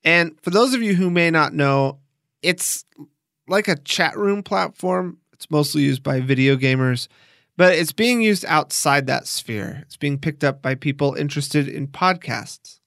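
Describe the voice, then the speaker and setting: A man's voice: on the high side, unhurried, fairly bright tone, dry recording, studio quality. A man hosting a documentary podcast, narrating and interviewing in a casual, enthusiastic, conversational way.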